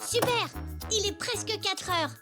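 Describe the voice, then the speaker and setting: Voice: very high voice, measured pace, over loud music. A performer playing an eager, impatient little boy zebra in a cartoon, with a childlike, questioning manner. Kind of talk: French children's cartoon dubbing.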